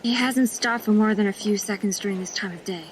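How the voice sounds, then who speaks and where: An actress playing a new young female nurse who is blunt and sharp-tongued. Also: mid-range voice, brisk, lightly acted. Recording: noisy background.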